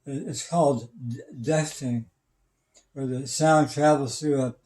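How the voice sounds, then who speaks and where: A man in his seventies talks with a mellow, relaxed voice at a middling pitch. He explains things casually and plainly, often stammering and halting as he searches for the next phrase. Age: 70s. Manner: halting, stammering, matter-of-fact. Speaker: retired systems engineer and radio hobbyist.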